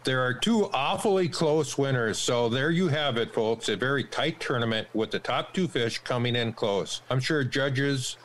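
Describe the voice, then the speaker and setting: An actor playing an unflappable, folksy small-town sports co-host giving commentary in a radio play. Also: male voice, mid-range voice, lively intonation, moderate pace, hardly any pauses, natural voice. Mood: upbeat, unruffled.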